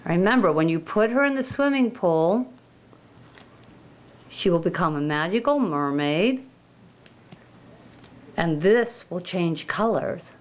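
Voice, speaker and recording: A woman hosting a toy unboxing video, gently showing and naming each item in a sing-song way. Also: on the low side, expressive. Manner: slow, gentle, sing-song show-and-tell.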